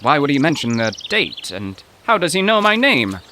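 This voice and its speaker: An actor playing an anxious, poetic professor in a 1930s radio adventure, with a fretful, literary and theatrical manner. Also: male voice, fairly high pitch, big pitch swings, normal speed, bright, forceful tone. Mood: baffled. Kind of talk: 1930s radio drama acting. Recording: echoey, slight background noise.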